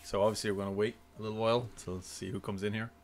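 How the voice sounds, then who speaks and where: A man's voice: fairly low pitch, flat delivery, rapid-fire, noisy background. A man hosting a casual live-streamed podcast, upbeat, greeting viewers and reading out chat names. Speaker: podcast host.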